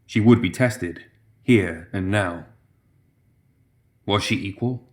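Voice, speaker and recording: Low-pitched man narrating dark fiction. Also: takes it slowly. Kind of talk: scripted dramatic story narration.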